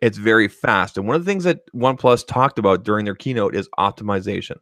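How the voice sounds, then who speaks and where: Emphatic low-voiced podcast man. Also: fast, fairly bright tone, dry recording, studio quality. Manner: emphatic, opinionated, says okay a lot. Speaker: tech podcast co-host. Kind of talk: casual podcast chat. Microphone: studio microphone.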